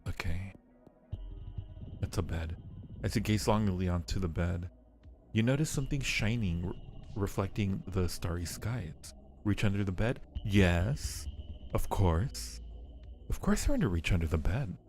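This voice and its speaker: Male game streamer, deep mellow voice, thinks out loud while reading on-screen text, music underneath. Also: expressive, unhurried, long pauses. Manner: musing aloud, repeats phrases to himself. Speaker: live streamer. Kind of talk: live game commentary mixed with reading game text aloud. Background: background music.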